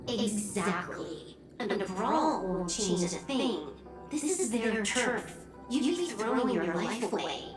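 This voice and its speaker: A woman playing a cool, pragmatic female accomplice in a video game, measured, laconic and matter-of-fact. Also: mid-range voice, expressive, reverberant, recorded from a distance, over music. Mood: grave, frank.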